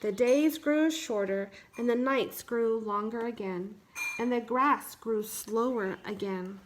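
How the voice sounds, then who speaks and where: A woman narrating a children's picture book aloud in measured, simple phrases. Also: a little high, slight background noise. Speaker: children's read-aloud storyteller.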